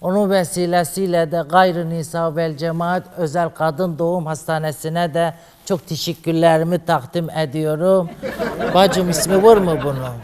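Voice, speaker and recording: A man's voice: a little high, fairly even intonation, normal speed, a little mellow, long reverb tail, natural voice. A man playing a flamboyant female TV fairy hostess, teasing and brassy, in a comedy sketch. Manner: teasing, flamboyant, calls women "sister". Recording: studio audience behind.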